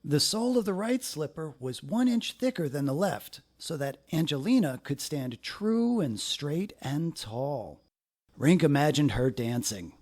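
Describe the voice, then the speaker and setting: A man narrating a children's story aloud in an expressive, deliberate way with dramatic phrasing. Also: on the high side.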